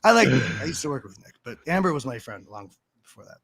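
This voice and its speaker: A man's voice: mid-range voice, very animated, fast talker, fairly bright tone, no room sound, clean studio sound. A man talking as a guest in a casual live-stream chat, rambling and self-mocking and laughing often, into a desk mic at home.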